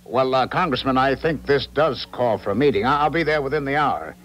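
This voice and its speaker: An actor playing a smooth-talking, two-faced husband with genteel, formal phrasing in a 1970s radio drama. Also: male voice, medium pitch, expressive, brisk, a bit bright, slight background noise, lightly acted. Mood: evasive, guarded. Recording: old radio drama, faint music behind.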